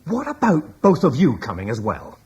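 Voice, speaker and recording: An actor playing a proud, battle-hardened British war leader, blunt and plain-spoken, with a husky voice. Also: male voice, medium pitch, wildly swooping pitch, normal speed, darkish tone, lo-fi sound, exaggerated voice. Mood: curious. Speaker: war chief. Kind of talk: radio drama acting.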